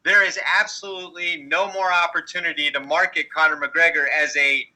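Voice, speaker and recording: A man with a very bright, sharp voice set a little high. He is a podcast co-host giving casual but opinionated sports analysis, arguing and explaining his points. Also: level tone, normal speed, poor audio. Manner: argumentative, explanatory.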